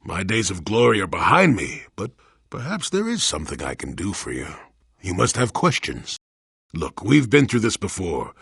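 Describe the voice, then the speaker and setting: A man playing a crotchety, wry old hermit Jedi in a video game, grumbling in a folksy, sardonic way with a gravelly, husky voice. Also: fairly low pitch, a bit bright, no room sound, clean studio sound, heavily acted. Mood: crotchety, dismissive. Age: older. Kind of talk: video game character acting.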